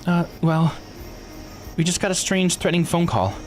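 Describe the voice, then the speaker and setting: A man's voice: a little high, animated, moderate pace, faint music behind, voice pushed up, natural voice. A man voicing an earnest, worried young islander in a visual novel, polite and questioning. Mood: uneasy. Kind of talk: visual novel read-aloud voice acting.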